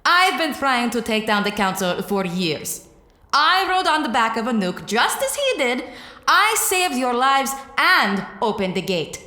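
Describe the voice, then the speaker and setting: A woman's voice: a little high, very animated, a little slow, pushed and bright, exaggerated voice. A woman playing a proud rebel leader turned queen in an audio drama, with a regal, assertive, declamatory delivery. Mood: scornful, proud. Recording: echoey hall reverb, faint music.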